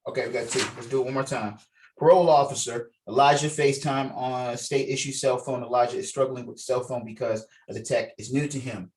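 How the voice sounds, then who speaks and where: A man's voice: mid-range voice, fairly even intonation, a little fast, a little mellow. A man leading a table read, mixing informal rehearsal talk with reading out stage directions. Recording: distant mic on online call.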